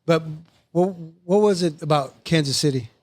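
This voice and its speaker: A middle-aged man chatting as host of a relaxed podcast, his voice sitting a touch higher than most men's. He talks in an easygoing, rambling way and laughs along with his guests. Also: normal speed. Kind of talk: casual conversation.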